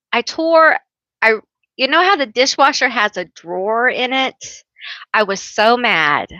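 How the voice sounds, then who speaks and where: Bright-voiced, slow-talking woman tarot reader. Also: medium pitch, dry recording, lo-fi sound. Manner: chatty, laughs often, self-teasing; calls herself loud, a yeller. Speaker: tarot reader hosting a live stream. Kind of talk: casual live-stream chat.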